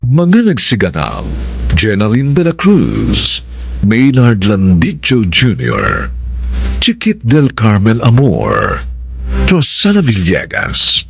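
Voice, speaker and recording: A man announcing and narrating a radio drama in a solemn, deliberate, theatrical style. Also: fairly low pitch, wildly swooping pitch, vocal fry, breathy edge.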